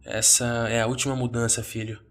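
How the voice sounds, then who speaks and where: A man's voice: mid-range voice, flat delivery, fairly quick, fairly bright tone, faint music behind, close to an everyday voice. A man voicing a weary, reassuring father in a horror audio story.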